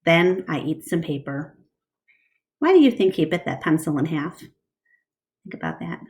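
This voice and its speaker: A woman reading a children's book aloud in an expressive, deliberate storytelling style. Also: low-pitched.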